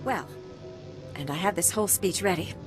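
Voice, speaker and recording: A woman acts as a pragmatic medical researcher in a video game. Her voice sits a little low, with a wry, explanatory delivery. Music is clearly heard underneath. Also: normal speed, close to an everyday voice. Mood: businesslike, wry.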